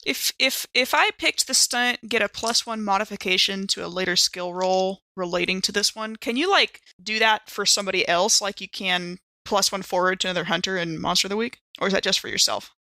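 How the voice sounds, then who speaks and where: A woman with a bright, forward voice and a mid-range pitch, recorded dry and close. She talks casually and with enthusiasm as a tabletop game player. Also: fairly quick, hardly any pauses, dry recording.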